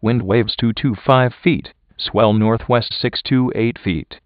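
A man's voice: mid-range voice, slow pace, dry recording. A synthetic man's voice reading weather bulletins mechanically and impersonally, on a muffled radio recording. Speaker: automated weather radio announcer.

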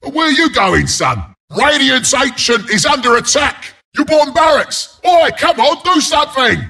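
Gravelly Cockney porcupine brawler. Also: male voice, high-pitched, sing-song, a little slow, bright, forceful tone, exaggerated voice. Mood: urgent, alarmed. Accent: London Cockney. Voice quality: gravelly, raspy. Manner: cheeky, blunt, slangy banter. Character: scrappy, cocky porcupine brawler as battle announcer. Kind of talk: video game announcer lines.

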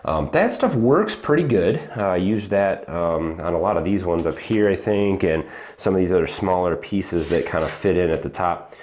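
A man in his thirties giving a casual walkthrough of his basement renovation, talking in a halting way with many ums and restarts, on a lapel mic. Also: low voice, expressive, a little mellow. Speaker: DIY home renovation vlogger. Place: unfinished basement, indoors. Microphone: lapel mic, handheld camera.

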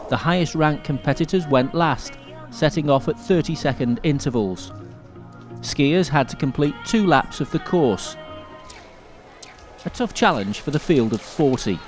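Male sports commentator, scripted highlights narration, slightly high voice with faint music behind. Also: a little mellow.

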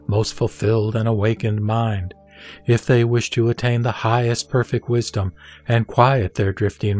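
A man plays a wise, composed spiritual teacher in a scripture audio drama. His medium-pitched voice is solemn and measured as he instructs, with soft music behind him. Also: lightly acted.

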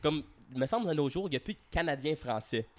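Lively Franco-Ontarian podcast host. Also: male voice, fairly high pitch, fast. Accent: Franco-Ontarian French. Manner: agreeable, chimes in often. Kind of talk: casual chat. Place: living room, indoors. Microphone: handheld mic.

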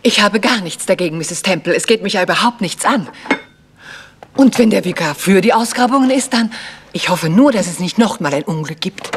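A woman's voice: mid-range voice, lively intonation, fairly quick, natural voice. An actress playing a stern, foreboding village cleaning woman in a German radio mystery. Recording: noisy old radio recording with clicks.

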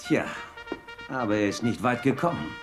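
A man's voice: medium pitch, big pitch swings, moderate pace, faint music behind, natural voice. An actor playing a confident, knowing Western lawman in a children's audio drama. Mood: explaining, knowing.

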